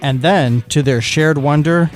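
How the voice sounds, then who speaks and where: A man narrates an audio story from a script. His voice sits a little high for a man, and faint music plays underneath. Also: unhurried. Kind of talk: scripted fan fiction narration.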